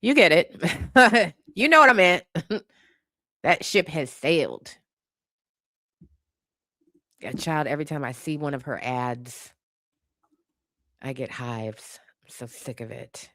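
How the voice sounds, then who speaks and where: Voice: mid-range voice, lively intonation, takes it slowly, long pauses, sharp tone, no room sound. Sassy, opinionated streamer in a dry, close room. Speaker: livestream host chatting with viewers. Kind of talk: casual unscripted chat, reacting to comments.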